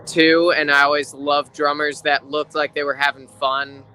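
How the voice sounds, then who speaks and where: A man's voice: a little high, little pitch movement, moderate pace, bright, forceful tone, clear, resonant tone, poor audio. A man talking casually as an interview guest, a drummer explaining his craft. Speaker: guest, rock drummer and drum teacher.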